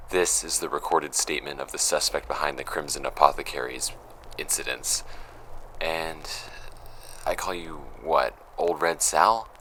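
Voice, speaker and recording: A man's voice: rumbling low, bright, forceful tone, slight background noise, heavily acted, low-quality recording. An actor playing a stern, wary male investigator in an audio drama, curt and impatient.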